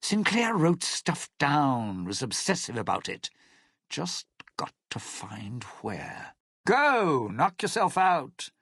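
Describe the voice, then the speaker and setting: Game role: smug, vengeful crooner-thief, low husky rasp, widely swinging sardonic delivery. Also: male voice, wildly swooping pitch, slow, a bit bright, no room sound, studio quality, full character voice. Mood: irritated, brisk. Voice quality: husky, gravelly. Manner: sardonic, theatrical, sneering. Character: bitter, scheming male lounge singer and thief, smug and vengeful. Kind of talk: video game character dialogue.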